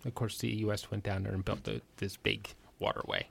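Host lady in her 50s, gravelly voice, halting chat, lots of restarts. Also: a bass voice, level tone. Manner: halting, restarts often, many short agreements. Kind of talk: casual interview chat.

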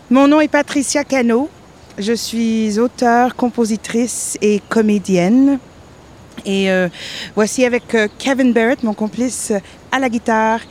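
A woman from northern Ontario whose first language is Spanish, introducing her songs in a soft, conversational way at home. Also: medium pitch, slight background noise. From northern Ontario, Canada. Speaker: singer-songwriter introducing songs. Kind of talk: casual stage talk between songs.

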